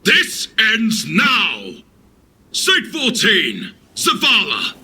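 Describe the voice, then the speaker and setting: A man playing a frustrated, commanding warrior in an audio drama, with a forceful, declamatory delivery. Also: high-pitched, huge pitch swings, very slow, slight background noise, heavily acted. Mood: furious shouting. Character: frustrated, commanding male warrior, booming and righteous.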